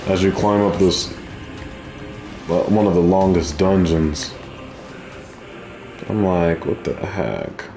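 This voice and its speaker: A man's voice: low-pitched, slow, pauses often. A man giving casual, slangy gameplay commentary as a streamer. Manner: informal, slangy. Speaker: gaming streamer. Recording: roomy echo, game music underneath. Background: video game music.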